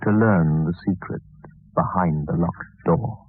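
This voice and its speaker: A man narrating a suspense radio drama, dramatically breaking his phrases with pauses. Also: sits low, slightly rough, no echo. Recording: narrow-band old radio recording.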